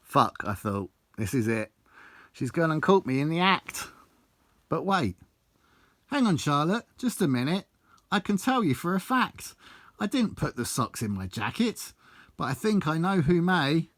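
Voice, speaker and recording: A man's voice: on the high side, sing-song, measured pace, fairly bright tone, dry recording. A man reciting his own rhyming life story in a theatrical, blunt, sweary storytelling manner. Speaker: author reading own book. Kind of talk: recited rhyming autobiographical verse.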